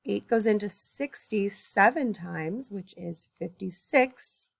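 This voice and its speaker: Gravelly-voiced woman teaching math aloud. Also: medium pitch, animated, slow, no echo. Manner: thinks aloud, corrects her own slips. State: tired early in the morning. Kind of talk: recorded math lesson, working problems aloud.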